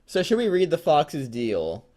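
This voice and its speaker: A man's voice: fairly high pitch, huge pitch swings, darkish tone. Rambling man in casual joking chat. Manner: rambling, halting, stammering. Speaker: co-host of a fan fiction reading show.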